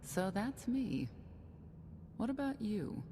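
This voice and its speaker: An actress playing a self-assured, candid woman knight in a game, composed and measured. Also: mid-range voice, sing-song, warm tone, slight background noise. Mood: resolute, guarded. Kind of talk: video game cutscene acting.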